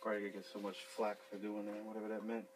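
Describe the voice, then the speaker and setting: A man's voice: lowish voice, monotone, very fast, background noise. A man in his thirties working on a sink in a small basement room and chatting casually to a camera a few feet away, rambling and swearing freely. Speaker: handyman fixing a sink. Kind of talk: casual how-to video with running commentary. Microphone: fixed camera a few feet away.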